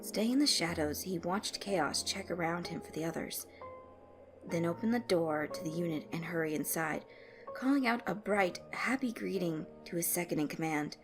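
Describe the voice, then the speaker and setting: A woman's voice: on the low side, lively intonation, moderate pace. Woman narrating a story over music. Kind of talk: scripted fan fiction narration. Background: background music.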